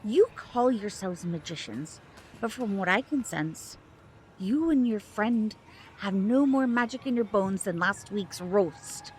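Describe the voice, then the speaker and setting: A woman acts a protective mother who is stern and suspicious, with slow, deliberate delivery and lively swings in pitch. It is audio drama with some noise behind her. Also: low-quality recording.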